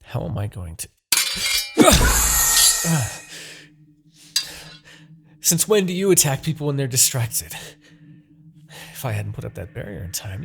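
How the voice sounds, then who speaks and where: A man's voice: mid-range voice, vocal fry. Man voicing an imperious demon king, wildly swooping delivery. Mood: irritated. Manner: theatrical, brooding, swinging between murmurs and outbursts. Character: imperious, obsessive male demon king, easily exasperated villain. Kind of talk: dramatic fantasy audio roleplay.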